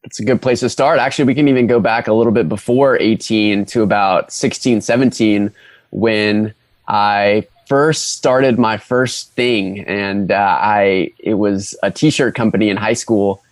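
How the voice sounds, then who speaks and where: Young man, relaxed, low-pitched guest. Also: unhurried, no room sound. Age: 20s. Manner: relaxed, hesitant with fillers and restarts. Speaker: guest entrepreneur. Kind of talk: casual interview chat.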